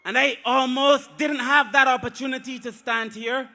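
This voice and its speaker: Very high, piercing Barbadian man orating. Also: fairly even intonation, normal speed, sharp tone. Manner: impassioned, declamatory. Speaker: politician. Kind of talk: political rally speech.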